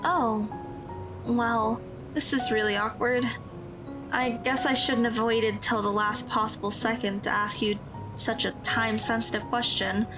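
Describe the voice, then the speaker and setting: A woman plays an earnest, socially awkward teenage schoolgirl in an audio drama. Her voice is fairly high, and she talks hesitantly in slightly formal phrases. Clear music sits behind her. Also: fairly even intonation, a little slow, natural voice. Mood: awkward, hurt.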